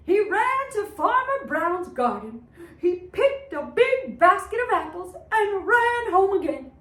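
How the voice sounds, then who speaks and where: A woman reads a children's tale dramatically, like a preschool teacher performing. Her pitch is very high and swings widely, and she takes her time. The room is echoey. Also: distant mic. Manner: theatrical, expressive. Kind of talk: dramatic storybook read-aloud for preschoolers.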